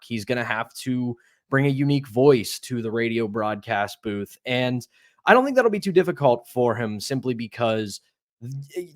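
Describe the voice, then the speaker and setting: A man's voice: medium pitch, no echo, clean studio sound. A man in his thirties co-hosting a sports podcast, giving casual, opinionated commentary in a conversational way. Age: 30s. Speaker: podcast co-host.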